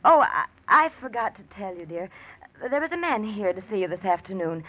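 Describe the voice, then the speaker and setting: An actress playing an anxious, evasive wife in an old-time radio melodrama, hesitant and placating. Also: female voice, mid-range voice, sing-song, fairly quick. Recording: dull vintage radio recording.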